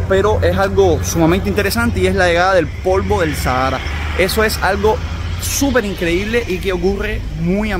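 Cuban man vlogging over street noise. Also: on the high side. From Cuba. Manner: chatty, addresses viewers as family. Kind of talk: casual explanatory vlog.

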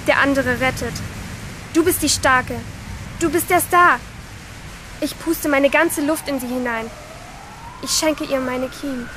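A woman's voice: high voice, noisy background. An actress playing an anguished teenage girl in a radio play, narrating her inner thoughts in short, intense phrases. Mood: desperate. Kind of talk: radio play interior monologue. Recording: music behind the voice.

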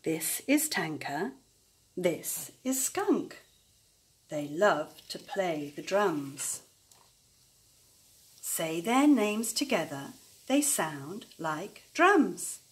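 An older woman reading a children's picture book aloud in a rhythmic, animated chant, stressing the syllables. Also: fairly low pitch.

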